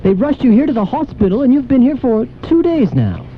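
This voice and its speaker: A man's voice: very high voice, wildly swooping pitch, a little fast, exaggerated voice. An actor playing a concerned, apologetic male surgeon, earnest and reassuring, in old-time radio drama style. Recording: tinny, faintly noisy AM radio aircheck.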